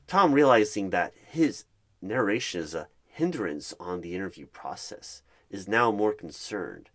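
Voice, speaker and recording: A man narrating an ASMR roleplay in the third person, with deliberate, halting and repetitive phrasing. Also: fairly low pitch, big pitch swings, fairly bright tone.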